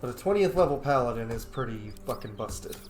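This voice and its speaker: A man's voice: medium pitch, animated, a little fast, faint music behind. Man gaming in a roomy, echoing space. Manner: casual, profane. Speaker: streamer playing a roleplaying video game. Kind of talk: casual let's play commentary.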